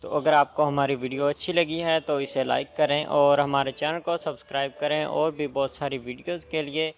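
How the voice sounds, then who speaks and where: A man's voice: on the high side, fairly even intonation, fairly quick. A man giving a casual step-by-step app tutorial as the host of a tech video. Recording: muffled lo-fi voice with background music. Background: music.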